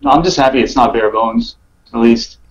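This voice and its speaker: Hesitant man chatting, mid-pitched. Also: lively intonation, moderate pace, dull audio. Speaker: guest player on a gaming podcast. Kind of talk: casual chat.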